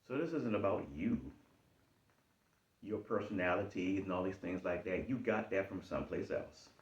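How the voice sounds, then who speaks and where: A man with a very low voice and a Charleston Geechee accent gives a motivational talk. He hammers points home with repetition and lively pitch swings. The room rings around him and the sound is a little dull. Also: echoey room. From Charleston, South Carolina. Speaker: self-help speaker.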